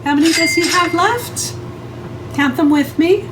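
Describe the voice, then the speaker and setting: A woman's voice: very high-pitched, unhurried, background noise. A woman reading a picture book aloud to young children as a librarian, in a sing-song way, prompting them with questions and counting.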